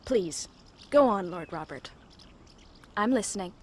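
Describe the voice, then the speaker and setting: A woman's voice: mid-range voice, expressive, measured pace, darkish tone. Headstrong young American woman. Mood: wry. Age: 20s. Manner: blunt, unladylike. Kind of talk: radio drama acting.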